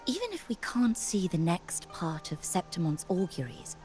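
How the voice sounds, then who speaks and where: An actress playing a chatty, gossipy young novice priestess in a video game, with expressive delivery. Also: female voice, on the low side, normal speed, faint music behind. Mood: intrigued, gossipy.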